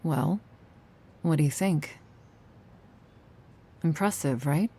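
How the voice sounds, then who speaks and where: Woman acting a low, slow, creaky vampire woman. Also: dark tone, exaggerated voice. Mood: calm, matter-of-fact. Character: ageless vampire woman gardener, sardonic yet tender, protective. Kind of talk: slow intimate ASMR roleplay acting.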